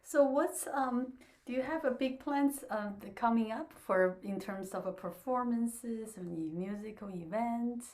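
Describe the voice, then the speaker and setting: A woman's voice: on the high side, a little slow, few pauses, darkish tone. A woman interviewing a young pianist, asking short questions with brief encouraging replies.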